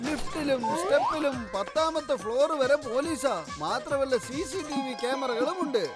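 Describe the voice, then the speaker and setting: A woman voicing a blustering, excitable comic uncle in a children's cartoon. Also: high voice, normal speed. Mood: boastful. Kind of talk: children's cartoon comedy dubbing. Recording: loud music bed under the voice.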